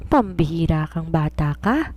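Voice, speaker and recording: A middle-aged Filipina mother in a romance story, acted by a woman narrator. She is easily flustered and fusses in bewilderment, her timbre soft and dark. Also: deep voice, lively intonation, measured pace. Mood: astonished, worried. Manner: bewildered, fussing. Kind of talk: storybook character reading.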